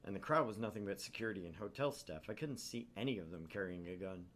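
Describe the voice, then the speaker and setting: A man's voice: fairly low pitch, lively intonation, fast talker, fairly bright tone. A man hosting a gaming channel, narrating a visual novel aloud with theatrical, irreverent casual asides.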